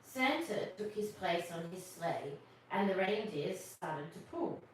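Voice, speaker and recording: A woman reading a children's story aloud as host, expressive, drawing out her words. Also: on the low side. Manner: expressive, drawn-out words, questions to listeners. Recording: very echoey, distant mic, faint noise.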